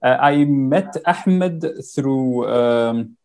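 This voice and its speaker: A man's voice: mid-range voice, drawn-out words, a little mellow. A young man who was born in Norway and raised in Sudan, a documentary filmmaker answering interview questions over a video call, searching for words with many fillers. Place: home room with shelves. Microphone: headset on video call.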